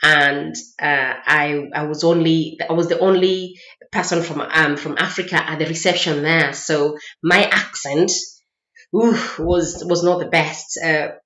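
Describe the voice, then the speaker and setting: Female vlogger, Kenyan accent, lowish voice, informal anecdotal delivery, echoey lo-fi home-style recording. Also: steady tone, unhurried, a bit bright. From Mombasa, Kenya. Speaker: vlogger sharing personal experiences. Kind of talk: casual personal storytelling. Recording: roomy echo, dull lo-fi sound.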